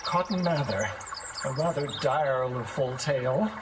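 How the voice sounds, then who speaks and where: An actor playing a timid, superstitious schoolmaster in an audio drama, speaking in a formal, wordy, nervous way. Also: male voice, mid-range voice, animated, measured pace, noisy background, lightly acted. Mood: startled, embarrassed. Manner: bookish, formal, wordy, jittery.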